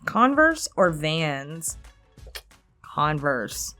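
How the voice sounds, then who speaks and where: Low-voiced, animated woman chatting casually. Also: extremely slow, faint music behind. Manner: candid, self-deprecating, freely swearing. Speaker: online creator answering subscriber questions. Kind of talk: casual Q&A chat.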